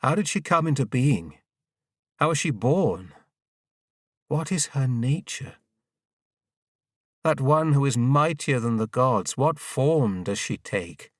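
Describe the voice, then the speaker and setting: A man's voice: mid-range voice, lively intonation, slow, pauses often, no echo, clean studio sound, far from the mic. A man reading as an earnest, inquiring king. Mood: earnest inquiry. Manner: formal, inquiring, reverent. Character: earnest king respectfully questioning a holy sage.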